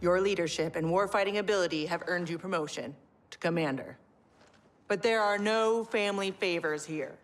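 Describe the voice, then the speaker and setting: An actress voicing a tough female military general who talks in a crisp, authoritative way. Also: lowish voice, moderate pace, close to an everyday voice. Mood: formal. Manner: crisp, commanding military address. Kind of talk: video game cutscene acting. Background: orchestral game score.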